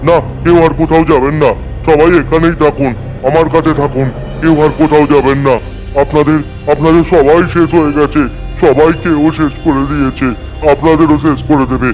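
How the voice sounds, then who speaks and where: A man's voice: fairly high pitch, fairly even intonation, a little fast, lightly acted, poor audio. A man voicing a loyal caretaker who shields the guests, speaking in a pleading, protective way. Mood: urgent. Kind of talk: dubbed cartoon acting. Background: loud music.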